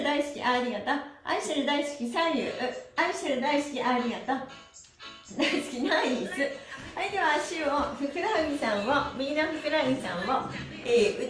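A middle-aged woman with a high voice guides a stretching class. She is polite and bubbly with a sing-song lilt. The room is echoey, with music behind her. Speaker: stretching instructor and livestream host. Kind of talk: cheerful exercise lesson, chatting and counting chants. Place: small indoor studio room, sitting on a mat.

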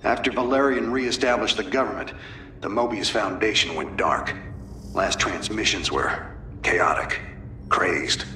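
An actor playing a wry, gruff middle-aged space-marine commander in a game, with informal, folksy soldier talk. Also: male voice, lowish voice, unhurried, reverberant, a little hiss or hum. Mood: grim. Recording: loud dramatic music behind the voice.

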